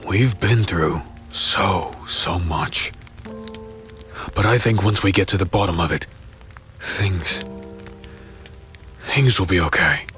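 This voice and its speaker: A man playing a weary, brooding fantasy hero who narrates his own dark tale in a deliberate, somber, dramatic way. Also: deep voice. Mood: weary, quiet. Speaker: narrator and lead character. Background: music.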